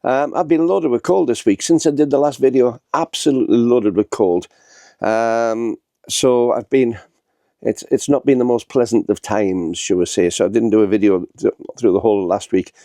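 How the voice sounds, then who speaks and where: A man, his voice thick and blocked up from a head cold. His tone is dark and gravelly, and it sounds close and dry. He rambles chattily to the camera and keeps restarting his sentences. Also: medium pitch, normal speed, no room sound. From northern England. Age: 60s. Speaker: vlogger. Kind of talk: casual talking-to-camera vlog. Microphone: small handheld camera.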